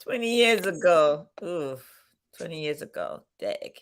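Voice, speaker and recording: A woman in her fifties chatting casually on a live stream, reflective and quick to laugh. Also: sits low, expressive, smooth. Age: 50s. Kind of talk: casual chat.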